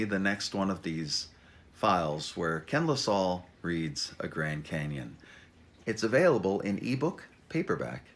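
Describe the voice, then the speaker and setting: A man introducing his own memoir as an author, talking informally and reading dramatically, with halting self-interruptions, wry asides and theatrical emphasis. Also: deep voice, fairly bright tone.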